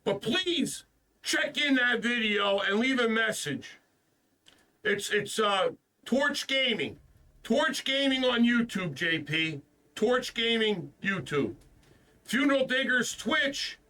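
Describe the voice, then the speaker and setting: A man's voice: very high voice, expressive, slow, bright, forceful tone, far from the mic. A man hosting a gaming stream, ranting in a frustrated, profane way, his voice stuffed up from the flu. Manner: frustrated, profane, repeats himself. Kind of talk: casual ranting stream talk.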